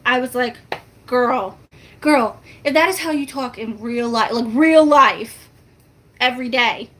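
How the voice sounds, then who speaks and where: A soft-spoken woman, chatting casually and laughing with her viewers in a rambling, self-mocking way. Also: unhurried. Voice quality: soft-spoken, high-pitched. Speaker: family vlogger.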